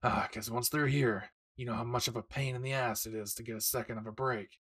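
A man acts out a brash young anime husband with a husky voice. He teases and reassures his wife tenderly, close and intimate, as in a romantic audio roleplay. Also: medium pitch, fairly even intonation, brisk, a bit bright. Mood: excited, loving. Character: brash, devoted young husband, excited father-to-be.

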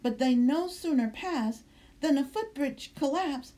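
A woman reads a children's story in rhyme. Her voice is high and animated, with an unhurried sing-song delivery that brings out each verse. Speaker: storybook reader. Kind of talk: expressive children's read-aloud of rhyming story. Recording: roomy, echoing indoor sound.

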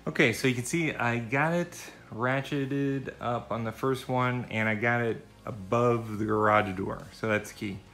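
A middle-aged man giving casual, halting, unscripted how-to narration while thinking aloud. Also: medium pitch, a bit bright. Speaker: vlogger demonstrating a DIY setup. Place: indoors in a cluttered home garage. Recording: echoey garage, faint music.

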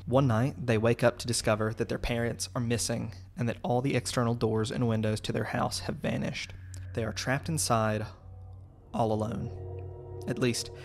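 A man reading scripted video essay narration in a measured, explanatory way. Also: medium pitch, vocal fry.

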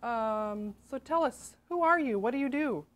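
A middle-aged woman hosting a relaxed interview, her voice lifting as she asks questions and drops in frequent short okays. She comes across as friendly and encouraging. She is recorded in a small, quiet studio from a camera close by. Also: fairly high pitch, measured pace. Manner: upbeat, many short affirming okays. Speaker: nutritionist host. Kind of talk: casual interview.

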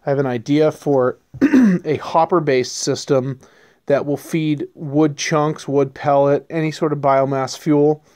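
A man in his thirties hosting a casual homesteading vlog, talking in a relaxed, rambling way with many ums and restarts, recorded on a handheld camera outdoors and in a workshop. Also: a little high, unhurried, dry recording, studio quality. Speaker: homesteading channel host. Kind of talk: casual hands-on vlog narration. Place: outdoors beside a pickup truck in snow, later a workshop.

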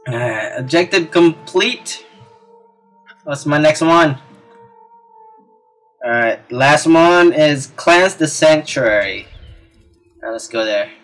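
Slow-paced man commentating over game music. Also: a little high, lively intonation, fairly bright tone. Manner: exclamatory, reactive, talking to himself. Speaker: let's play gamer. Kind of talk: casual gameplay commentary. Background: video game music.

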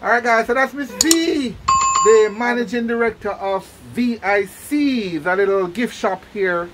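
A man's voice: sits high, a little slow. A man with a Jamaican accent interviewing casually on location, enthusiastic and often repeating his words. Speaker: vlog host and interviewer. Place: small gift shop on a busy street. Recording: noisy handheld on-location recording, traffic hum.

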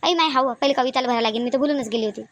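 Woman acting a high-pitched, chatty Varhadi village woman. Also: very fast, no echo, close to an everyday voice. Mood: chatty, bossy. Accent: Varhadi Marathi of Vidarbha. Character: chatty, bossy village women at a wedding ritual. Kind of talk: cartoon comedy dialogue.

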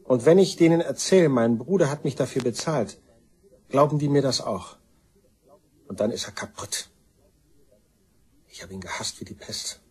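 An actor playing a bitter, spiteful man in a German crime radio play, speaking in clipped colloquial phrases with a very husky, rough voice. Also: mid-range voice, expressive, normal speed, pauses often, a little mellow.

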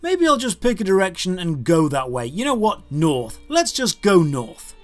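High-pitched sardonic British man reviewing games. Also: wildly swooping pitch, normal speed, faint music behind. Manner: sardonic, critical. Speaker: video game reviewer. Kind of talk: scripted review narration. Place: small room lined with game shelves.

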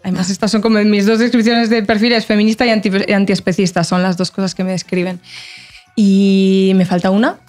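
Woman guest, 30s, middle pitch, rambling casual chat, close desk mic. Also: flat delivery, fairly quick, a little mellow, faint music behind. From Portugal. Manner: chatty, many fillers and restarts, laughs often. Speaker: podcast guest. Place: podcast studio with plants and a backdrop.

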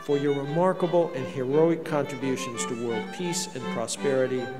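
A man's voice: medium pitch, fairly even intonation, normal speed. An older man making a formal ceremonial proclamation as a university official at a podium. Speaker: university official conferring degree. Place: large indoor arena. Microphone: podium microphone. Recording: loud music and crowd.

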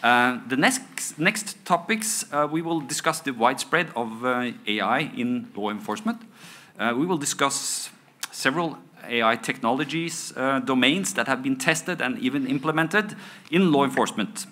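A man's voice: medium pitch, animated, normal speed, bright, forceful tone. A middle-aged man introducing a conference panel from notes, with frequent fillers and restarts. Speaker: panel moderator. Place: conference panel stage indoors. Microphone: table microphone on stand.

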